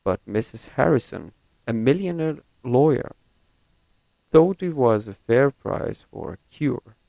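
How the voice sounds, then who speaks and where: A young man from Syria whose first language is Kurdish reads a set passage slowly and carefully, then talks about himself. He speaks English with an American-leaning accent. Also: mid-range voice, animated, gravelly, warm tone, dry recording, dull audio. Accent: American-leaning non-native English. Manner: slow, careful and deliberate. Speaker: university student. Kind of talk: reading a set passage, then personal account.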